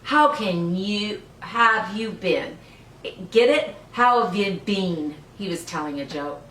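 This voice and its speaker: A woman's voice: medium pitch, lively intonation, slow pace, a bit bright. Older woman in a roomy, distant recording. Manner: playful, encouraging, speaks simply as to small children. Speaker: children's story time host. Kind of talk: read-aloud storytelling with chat to young children. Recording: roomy, distant mic with faint noise.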